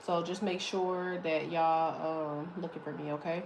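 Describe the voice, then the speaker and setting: A woman with a deep voice giving a casual, chatty tarot reading, swearing freely as she talks. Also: little pitch movement, measured pace, darkish tone, a little hiss or hum. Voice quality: deep, slightly muffled voice. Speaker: tarot reader.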